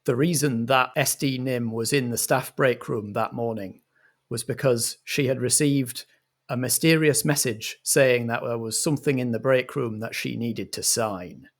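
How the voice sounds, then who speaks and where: A man narrating scenes as the director of a comedic audio roleplay, in a measured storytelling style. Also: mid-range voice, fairly even intonation.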